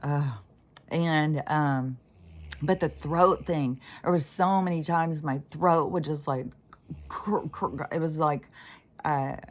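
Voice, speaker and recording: A woman with a notably low, scratchy voice talks through her spiritual experiences in a loose, unscripted monologue. She drifts off mid-thought, circles back, and swears without a second thought, like a host speaking candidly to her channel. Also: slow. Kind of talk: rambling casual monologue.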